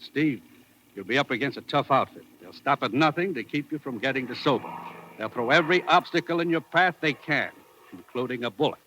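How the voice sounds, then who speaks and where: A man's voice: medium pitch, a little fast, pushed and bright, lightly acted. An actor playing a grave, authoritative American intelligence chief briefing his agent in a vintage radio drama. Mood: grave, urgent. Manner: earnest, authoritative. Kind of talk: 1950s radio acting. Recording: noisy vintage radio recording.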